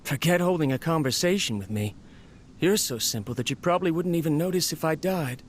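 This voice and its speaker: A man plays a worn-out, lonely middle-aged machine researcher whose voice sits a little high. He talks in a heavy, self-reproaching way, with a faint hum of noise behind. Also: lively intonation, a little fast, lightly acted. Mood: bitter. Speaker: scientist recording tape logs. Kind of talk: video game voice acting.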